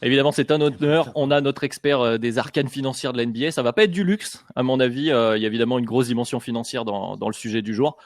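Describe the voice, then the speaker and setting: A man's voice: medium pitch, fast, hardly any pauses. Guy hosting a podcast, lots of ums, dry close sound, no room echo. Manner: chatty, hesitant with many fillers. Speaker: podcast host. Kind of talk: casual podcast discussion.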